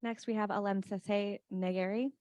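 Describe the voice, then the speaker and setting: A woman's voice: medium pitch, steady tone, moderate pace, a little mellow, no room sound. A woman on city staff presenting a program and introducing speakers at a council meeting.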